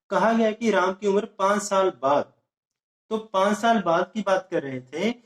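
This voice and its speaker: A man teaching an exam-prep math lesson, repeating each step and checking whether students follow. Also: sits high. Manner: repeats steps and keeps asking students if it is clear. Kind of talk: whiteboard lesson explaining age problems. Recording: echoey room.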